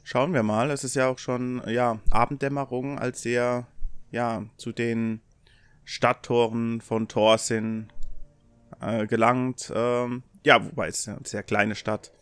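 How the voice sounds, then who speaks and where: A man narrating a tabletop role-playing game as game master, in a hesitant, pausing manner. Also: mid-range voice, level tone, fairly bright tone, smooth, no room sound. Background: background music.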